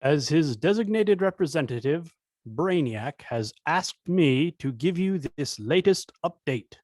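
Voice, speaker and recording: A man playing a smooth-talking, self-important villain politician in a table read, pompous and deliberate. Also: on the high side, lively intonation, a little mellow, no echo. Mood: official.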